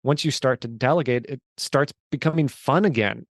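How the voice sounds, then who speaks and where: A man's voice: mid-range voice, normal speed, dry recording, studio quality. A man of forty, a guest in an interview, telling his story in an earnest, emphatic way. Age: 40s. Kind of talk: casual interview chat.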